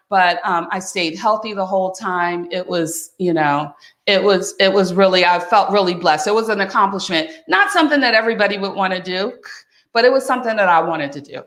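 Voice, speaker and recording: A woman's voice: medium pitch, normal speed, a bit bright. Folksy woman in her fifties, echoey room. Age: 50s. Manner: folksy, addresses listener as friend and honey. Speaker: wellness coach. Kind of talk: motivational talk.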